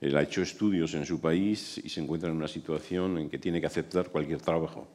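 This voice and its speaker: A man hosting a festival press breakfast, introducing guests and asking measured, formal questions. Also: very deep voice, a little mellow. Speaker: festival interviewer.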